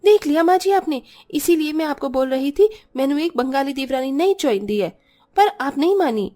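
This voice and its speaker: A high, scolding cartoon voice for a scornful Punjabi elder sister-in-law, acted out by a woman. She is hot-tempered and taunting, with a clear Punjabi accent. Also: expressive, moderate pace, dark tone. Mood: indignant complaint.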